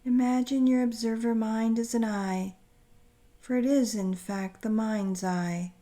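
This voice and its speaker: A woman narrating a scripted guided meditation in a soothing, gentle, deliberate manner with pauses. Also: on the high side, steady tone.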